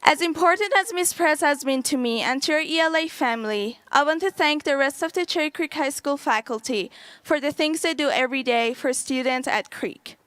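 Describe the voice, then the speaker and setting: A woman's voice: high-pitched, normal speed, a bit bright, dry recording. A young woman whose first language is Farsi, giving an earnest prepared speech as a graduating student.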